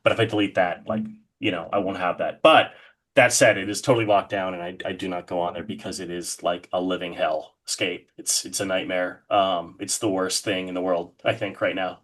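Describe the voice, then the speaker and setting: A man's voice: sits low, steady tone, a little fast, a bit bright. A man talking casually and enthusiastically as a guest in an interview, with an effusive way of putting things. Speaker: podcast guest, horror artist.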